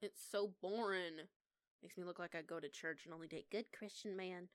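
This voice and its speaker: Woman acting a prickly, low-voiced young punk werewolf. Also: steady tone, no room sound, lightly acted. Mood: casual, slightly awkward. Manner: sweary, casual, sarcastic, halting when flustered. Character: eighteen-year-old punk lone-wolf werewolf woman, prickly, defiant. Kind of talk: intimate one-sided audio roleplay acting.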